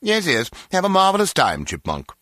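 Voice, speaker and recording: A man's voice: high-pitched, wildly swooping pitch, normal speed, sharp tone, dry recording, studio quality, exaggerated voice. A man playing a jovial, lecherous aristocrat in a video game, blustering and flirtatious in an upper-class British accent. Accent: upper-class British English. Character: pompous, lecherous aristocratic English baron, jovial big-game hunter. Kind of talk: scripted video game voice acting.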